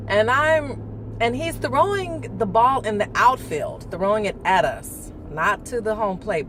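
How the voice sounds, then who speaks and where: A woman records a relaxed morning vlog on her phone. She talks in a loose, reflective way, drifts between thoughts and laughs easily. Her voice sits low and sounds deeper than usual, with faint noise in the background. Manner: chatty and reflective, laughs often, rambles. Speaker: vlogger. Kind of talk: casual morning talk. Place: indoors, talking to her phone.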